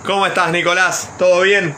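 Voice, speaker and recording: A man's voice: sits high, little pitch movement, moderate pace, sharp tone, a little hiss or hum. A man with a Buenos Aires Argentine accent, hosting an informal conversational interview. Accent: Buenos Aires Argentine Spanish. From Buenos Aires province, Argentina. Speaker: interviewer and program host.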